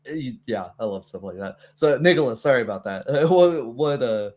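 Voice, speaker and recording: A man's voice: mid-range voice, lively intonation, moderate pace, a little mellow. A man hosting a casual, informal group chat after a tabletop game as the game master. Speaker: game master and stream host.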